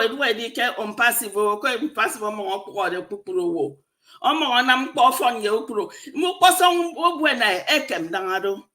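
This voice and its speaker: A woman's voice: fairly high pitch, pushed and bright. A Nigerian woman, a native Efik speaker, co-hosting a casual online discussion with a Nigerian accent. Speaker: co-host of a discussion show. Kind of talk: casual online panel chat.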